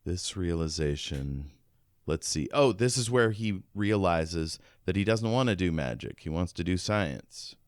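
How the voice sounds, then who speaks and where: A man's voice: deep voice, lively intonation, measured pace, no echo, clean studio sound. A man co-hosting a podcast, casually discussing a book and reading bits of it out. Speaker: podcast co-host.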